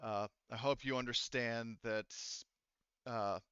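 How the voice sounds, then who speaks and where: Bright, clear-voiced man running a game. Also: mid-range voice, steady tone, no echo, highs cut off. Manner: halting, improvised delivery. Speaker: game master. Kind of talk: casual tabletop game narration.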